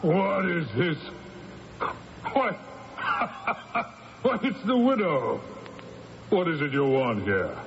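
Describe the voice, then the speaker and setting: An actor playing an arrogant, drunken, cruel Roman official, blustering in radio drama style. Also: male voice, high voice, very animated, very slow, long pauses, a bit bright, heavily acted. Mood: sneering. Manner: blustering, sneering. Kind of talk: 1980s radio drama acting. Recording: dull, noisy old radio broadcast recording.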